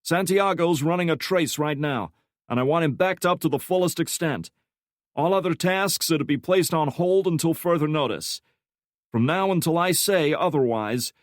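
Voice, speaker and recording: A man's voice: a little high, no echo, studio quality, voice pushed up, natural voice. A man playing a commanding middle-aged section leader who barks clipped orders. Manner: barking orders, clipped and forceful.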